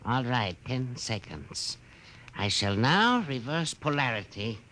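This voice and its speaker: An anxious scientist under orders. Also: male voice, medium pitch, big pitch swings, slow. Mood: tense. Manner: careful, hesitant explanations. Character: anxious male scientist serving a commander. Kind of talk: 1950s radio drama acting. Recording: very hissy old radio broadcast.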